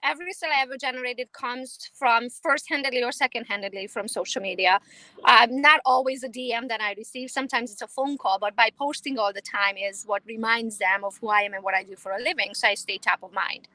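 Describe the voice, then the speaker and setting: A woman from Romania speaks with a Romanian accent, in a quick, emphatic, confident way. Her voice sits a little high and sounds bright and forceful. The recording is dry, with no room sound. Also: no room sound, rough recording. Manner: confident, fast, emphatic, laughs easily. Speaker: realtor guest. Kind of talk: casual interview chat.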